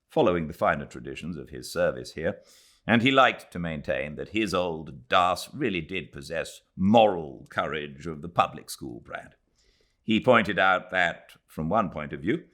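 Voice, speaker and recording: A British man narrating a classic novel in a measured, expressive reading voice. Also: deep voice. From Britain. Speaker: audiobook narrator. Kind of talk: scripted literary narration. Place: home, beside a fireplace.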